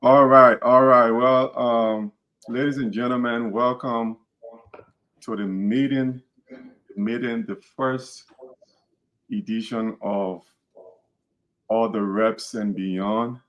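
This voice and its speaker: A man's voice: mid-range voice, steady tone, slow, long pauses, lo-fi sound. A man from Liberia with a Liberian accent, hosting a podcast in an earnest, repetitive, casual way. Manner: earnest, repeats words and restarts phrases. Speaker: podcast host.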